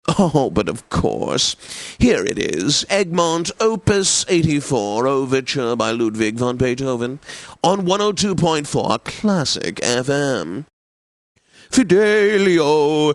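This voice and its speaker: Pompous, snobbish classical radio DJ. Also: male voice, mid-range voice, dry recording. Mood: smug, refined, then grandly rapturous. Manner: pompous, affected, precise enunciation, savoring words. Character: pompous, snobbish, wealthy male classical radio DJ, effete and self-satisfied. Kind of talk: theatrical radio announcing, game voice acting.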